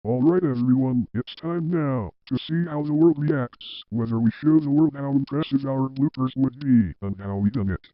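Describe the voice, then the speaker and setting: A man's voice: medium pitch, normal speed, hardly any pauses, no room sound, close to an everyday voice. A man playing a stubborn, argumentative office employee in an amateur drama, emphatic and repetitive. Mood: announcing. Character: defiant, stubborn male office employee who argues back. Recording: dull, dry lo-fi audio.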